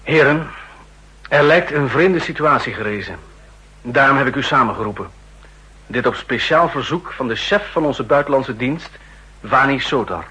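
An actor playing a stern older general who chairs a tense meeting, speaking formally in a 1960s radio play. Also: male voice, medium pitch, animated, normal speed, sharp tone, exaggerated voice, low-quality recording. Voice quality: husky, formal and commanding. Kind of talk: 1960s radio drama acting.

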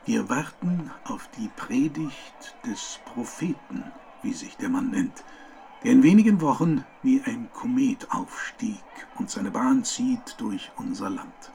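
An actor playing a pompous live radio reporter with florid, grandiose announcing, in a radio drama. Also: male voice, mid-range voice, expressive, slow pace, mellow. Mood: self-important excitement.

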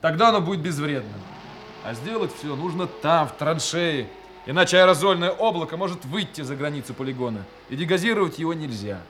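An actor playing a strict but caring army major and demolition commander in a radio play. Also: male voice, fairly high pitch, expressive, a little fast, fairly bright tone, a little hiss or hum. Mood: earnest, explaining. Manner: clipped commands, explains patiently. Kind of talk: Soviet radio play acting.

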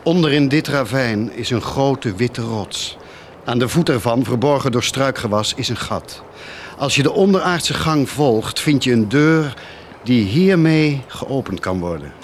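An actor playing a bitter priest turned traitor in a radio drama, speaking in a grave, measured, accusing manner. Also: male voice, mid-range voice, animated, a little hiss or hum, lightly acted. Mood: resigned, bitter. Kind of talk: theatrical radio drama acting.

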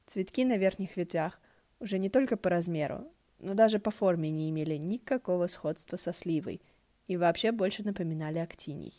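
Female audiobook narrator, expressive storytelling, mellow tone, close dry recording. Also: fairly low pitch, fairly quick, no room sound. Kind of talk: expressive scripted narration of fan fiction.

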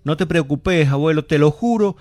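A man's voice: a little high, moderate pace, a little mellow, no echo, close to an everyday voice. A man with an Argentine accent acting as a devoted, affectionate young grandson in a radio drama. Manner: earnest, affectionate.

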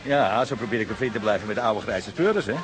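An actor with a Netherlands Dutch accent playing a chummy, cheeky, wily backstreet barkeeper in a radio drama, talking in colloquial banter. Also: male voice, lowish voice, fast talker, over music, lightly acted.

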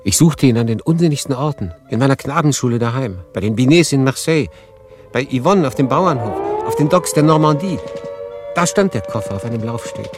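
An actor playing a sober, reflective refugee man who narrates his own story in a measured, literary radio drama style. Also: medium pitch, lively intonation, over music, lightly acted. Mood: tense, dreamlike. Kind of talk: scripted first-person radio drama narration.

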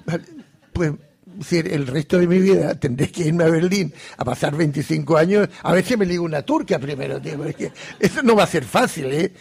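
A man's voice: on the high side, fairly quick, creaky, a little mellow, breathy edge. An older man presenting informally to an audience at a live event, often repeating words and phrases as he goes.

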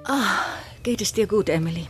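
An actress playing a shrewd, proper spinster in a German radio play, formal, measured and coolly probing. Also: female voice, medium pitch, faint music behind, natural voice. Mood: calm, kindly. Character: composed, proper, shrewd older spinster investigating.

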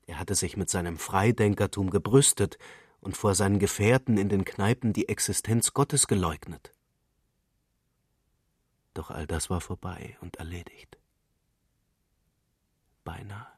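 A man's voice: low voice, measured pace, long pauses, a little mellow, slightly rough, no room sound, clean studio sound. A man reading literary prose as a scripted narrator.

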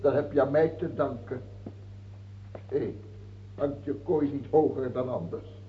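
An actor playing a cruel, mocking and capricious king in a radio drama, imperious, husky and gravelly. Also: mid-range voice, steady tone, a little slow, slight background noise, lightly acted. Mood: taunting, musing. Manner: imperious, taunting, hesitating then outbursting. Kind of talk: theatrical radio drama acting. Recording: muffled, phone-like old radio play recording.

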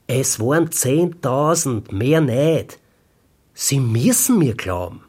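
An actor playing a shifty, husky-voiced petty thief who rambles and pleads his innocence in a radio drama. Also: medium pitch, animated, slow, darkish tone. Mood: nervous, insistent. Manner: evasive, pleading, rambling confession.

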